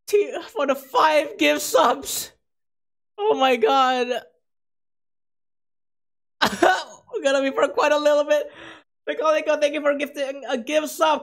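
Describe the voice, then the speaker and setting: A streamer who has talked far too long, the voice rough, hoarse and cracking at the edges. The delivery is excitable and gushing, with a self-mocking streak as they keep thanking viewers. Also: high-pitched. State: hoarse, losing voice. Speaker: livestreamer. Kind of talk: casual stream chat with viewers.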